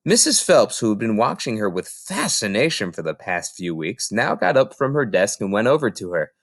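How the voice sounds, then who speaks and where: A man reading a children's novel aloud as an expressive narrator. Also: on the low side, moderate pace, dry recording, studio quality. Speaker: reader.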